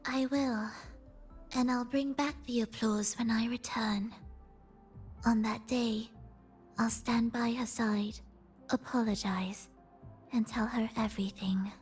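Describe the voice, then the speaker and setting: A woman voicing a gentle, earnest young violinist in a video game, soft-spoken and measured. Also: fairly high pitch, steady tone, soft background music. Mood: tender, resolved.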